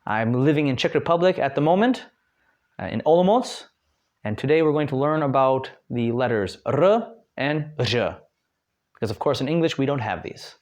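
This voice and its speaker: A man's voice: medium pitch, lively intonation, normal speed, a little mellow. A young American man from Detroit giving a casual spoken lesson, chatty, with many fillers and restarts. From Detroit, United States. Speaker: guest language learner.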